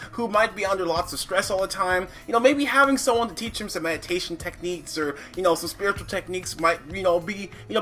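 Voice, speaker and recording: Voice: mid-range voice, fairly bright tone. A reviewer giving casual, opinionated commentary in a conversational way, thinking aloud with frequent asides. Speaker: comic book reviewer. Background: background music.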